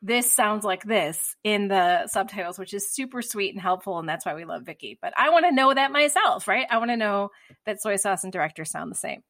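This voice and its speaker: A woman's voice: mid-range voice, animated, fairly quick, fairly bright tone. A woman with a Midwestern American accent, hosting a podcast and explaining earnestly in casual chat, with frequent laughs. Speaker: podcast host.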